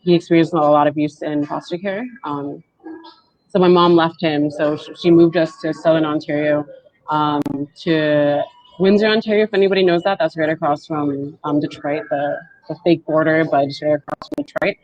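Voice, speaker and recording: A woman in her twenties, speaking softly and haltingly as she tells her story on a video call panel. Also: low-pitched, fairly even intonation. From Canada. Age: 20s. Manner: soft-spoken, halting and reflective. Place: indoors at home, on a video call. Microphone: wired earbuds with mic. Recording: dull lo-fi video call audio.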